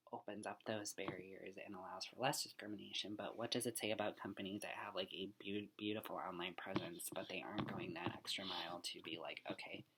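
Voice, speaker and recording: A young man's voice sits very low as he talks through his thoughts on a book for class. He is earnest and unpolished, circling back over his words, and often drifts down into a breathy, half-whispered tone. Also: background noise. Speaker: student. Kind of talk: casual spoken reflection on a book.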